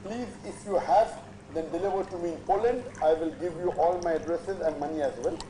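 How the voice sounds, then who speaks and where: A man's voice: sits high, a little fast, mellow. A man reading a message aloud, heard as delayed playback from a laptop. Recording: echoey laptop playback with background noise.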